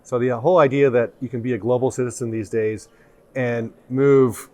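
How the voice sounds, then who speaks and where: A man's voice: mid-range voice, fairly even intonation, moderate pace, dark tone. A middle-aged man, a company chief executive being interviewed in a conference studio, talking in an upbeat, matter-of-fact, plainspoken way. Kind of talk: conversational interview.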